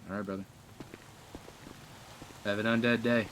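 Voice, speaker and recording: A man's voice: lowish voice, level tone, a little slow, fairly bright tone, a little hiss or hum, rough recording. A man in casual in-game roleplay chat, speaking in a blunt, assertive, informal way. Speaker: player in an online zombie survival roleplay.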